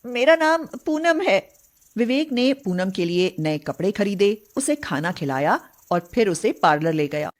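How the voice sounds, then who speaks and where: A woman's voice: lowish voice, sing-song, normal speed, hardly any pauses, a little mellow. A woman narrator reading a scripted moral story.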